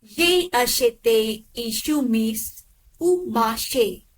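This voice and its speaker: A woman modelling sentences for a language lesson, enunciating each phrase clearly and evenly. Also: on the high side, lively intonation, very slow. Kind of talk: slow model repetitions of sentences.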